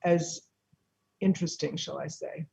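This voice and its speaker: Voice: medium pitch, a little slow, creaky, warm tone, husky. A presiding appellate judge asking curious, conversational questions while losing her voice.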